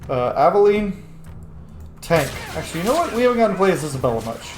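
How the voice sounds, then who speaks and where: A man's voice: on the high side, sing-song, rapid-fire, echoey room, faint music behind. A man giving casual, profane, self-deprecating roleplay commentary as he plays a video game.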